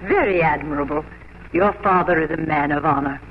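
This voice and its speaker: An actress playing a worldly, opinionated older lady confidante with a crisp, knowing manner, in 1950s radio drama. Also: low voice, fairly quick, lightly acted. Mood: brisk, inquisitive. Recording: dull noisy old radio broadcast.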